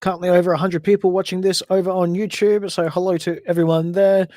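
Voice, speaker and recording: A man's voice: high voice, flat delivery, fast, dark tone, no room sound, clean studio sound. An Australian man hosting a solo podcast livestream, chatting casually and rambling with frequent self-corrections. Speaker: podcast host.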